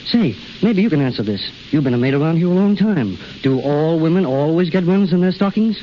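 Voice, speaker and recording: An actor playing a brisk, exasperated young husband in a 1930s radio commercial. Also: male voice, on the high side, expressive, close to an everyday voice. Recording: muffled 1930s radio, faint static.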